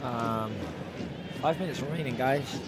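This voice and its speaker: A man with a raspy voice hosting a live football watch-along, commentating and talking directly to viewers in a repetitive, animated way. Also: medium pitch, unhurried. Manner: animated, repeats himself, addresses viewers directly. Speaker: live match-reaction show host and commentator. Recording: loud background noise.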